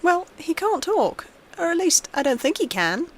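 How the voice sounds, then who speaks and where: A woman voicing a timid, earnest little girl in a horror story, with a halting, emphatic delivery. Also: very high-pitched, sing-song, pitched above the performer's own voice. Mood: innocent.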